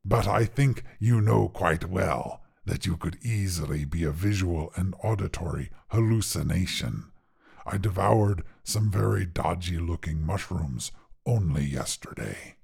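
Big smug dinosaur, super croaky and raspy, talks like a pompous professor, audio story character. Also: male voice, animated, measured pace, a little mellow, full character voice. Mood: smug, dry. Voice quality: gravelly, husky low growl. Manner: pompous, learned, formal phrasing. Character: huge smug erudite carnivorous dinosaur. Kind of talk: character voice in narrated short fiction.

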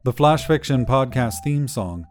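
A man narrating a scripted short story as a podcast host, in an expressive storytelling manner. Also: medium pitch, measured pace, faint music behind.

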